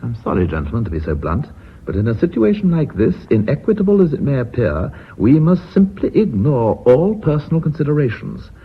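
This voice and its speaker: An actor playing a blunt, authoritative company chairman in a radio drama, with an English accent and formal, measured, courteous speech. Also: mid-range voice, wildly swooping pitch, breathy edge, full character voice. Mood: firm, reasoning. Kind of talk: BBC radio drama acting. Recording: muffled phone-like old radio recording, hiss.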